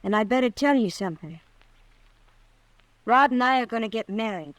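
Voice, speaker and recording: A woman's voice: on the high side, lively intonation, moderate pace, dry recording, lightly acted, rough recording. Actress as a plainspoken frontier ranch woman, dry sound. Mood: earnest, confessing. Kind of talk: old-time radio western acting.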